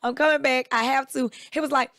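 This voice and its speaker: Raspy woman telling stories animatedly. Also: high-pitched, fairly quick, no room sound, clean studio sound. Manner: animated storyteller, quotes people in raised voices. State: recovering voice, a bit hoarse. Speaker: co-host. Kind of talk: casual chat.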